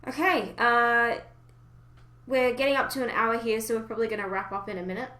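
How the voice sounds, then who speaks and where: A woman's voice: a little high, steady tone, a little fast, sharp tone. A woman hosting a podcast in a casual, chatty style. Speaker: podcast host. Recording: roomy, echoey home recording.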